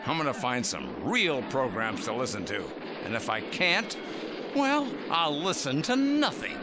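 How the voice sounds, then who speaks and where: A man's voice: medium pitch, very animated, unhurried, heavy background noise. An actor playing a cranky, nostalgic old man in a radio drama, grumbling and theatrical. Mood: defiant. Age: older.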